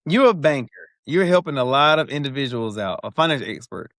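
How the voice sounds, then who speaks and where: A man hosting a casual podcast interview, speaking haltingly and repeating words as he starts his sentences. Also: medium pitch, animated, a bit bright, dry recording, clean studio sound.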